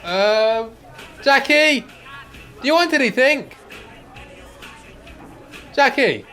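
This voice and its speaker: An actor playing a placid, chummy old care-home resident in an amateur radio play, mild and a little hesitant. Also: male voice, extremely high pitch, lively intonation, very slow, sharp tone, faint music behind, full character voice. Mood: friendly. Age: older.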